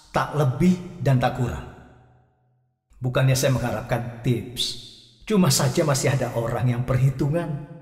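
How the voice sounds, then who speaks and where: A man's voice: medium pitch, a little mellow. A man tells his own story in a chatty, wry manner, speaking straight to the listeners. His voice sounds distant and echoey, with a long reverb tail and faint music underneath. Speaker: narrator telling his own story as a taxi driver. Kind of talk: scripted first-person audio drama narration.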